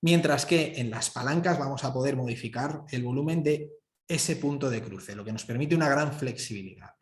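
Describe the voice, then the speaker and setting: Male product presenter, mid-pitched, roomy echo, hesitant explanatory delivery for a technical webinar. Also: moderate pace. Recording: roomy, echoing voice.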